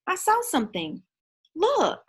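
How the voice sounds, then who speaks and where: A woman voicing a schoolgirl who is quick to protest, in an emphatic children's book read-aloud. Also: very high-pitched, huge pitch swings, voice pushed up, full character voice. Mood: insistent.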